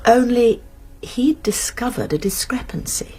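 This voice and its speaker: A woman's voice: a little high, lively intonation, measured pace, warm tone, in a whisper. An actress playing a shrewd British woman amateur sleuth in a radio drama, explaining things precisely and earnestly with small stammers.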